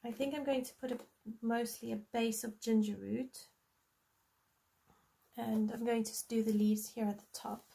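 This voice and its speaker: Chatty South African woman, slightly high. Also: little pitch movement, a little slow, gravelly, warm tone. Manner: chatty, friendly, thinks aloud with many ums. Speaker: coloring channel host. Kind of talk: casual live-stream chat while coloring.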